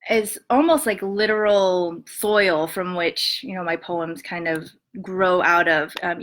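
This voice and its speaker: Soft-spoken woman reading her poems at home, lots of ums between them, laptop mic sound. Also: on the low side. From Montana and western Colorado, USA. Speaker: poet reading her work. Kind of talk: poetry reading with spoken introductions.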